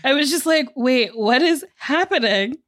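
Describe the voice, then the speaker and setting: A woman co-hosting a podcast in casual chat, slightly tipsy from drinking, frank and swearing freely with animated asides. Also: high-pitched, normal speed, no room sound, studio quality. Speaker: podcast co-host.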